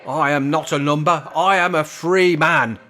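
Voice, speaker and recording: An actor with a British accent playing a sarcastic, rebellious old care home resident in a radio play, grumbling and sardonic. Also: male voice, a little high, fairly even intonation, normal speed, sharp tone, a little hiss or hum. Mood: defiant.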